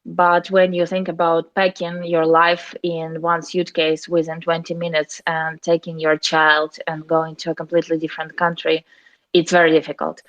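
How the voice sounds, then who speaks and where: A young woman speaks low and evenly, with little rise or fall in her voice. She talks earnestly and carefully as a charity communications officer, often restarting phrases. The recording is close and dry, with no room sound. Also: completely flat delivery, normal speed, no echo, muffled recording. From Ukraine. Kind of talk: interview answers.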